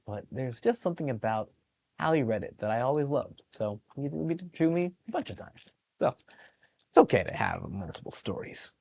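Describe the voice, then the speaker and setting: Animated man reading bedtime stories to children. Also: lowish voice, vocal fry, no echo. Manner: animated, encouraging, explains pictures to young listeners. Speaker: children's storytime reader at a public library. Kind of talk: expressive picture book read-aloud with chatty asides to young children.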